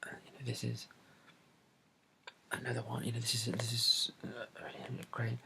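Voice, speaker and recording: A soft-spoken British man in a breathy ASMR bookshop roleplay, describing books in a hesitant, rambling way and repeating his phrases. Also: mid-range voice, monotone, moderate pace, very noisy. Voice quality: breathy, soft, often dropping to a whisper. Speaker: ASMR creator playing a bookshop assistant.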